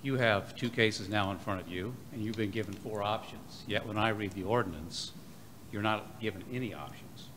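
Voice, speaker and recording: A man giving an emphatic public comment at a city meeting, with a booming delivery. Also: normal speed, fairly bright tone. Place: city council chamber. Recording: noisy public meeting audio.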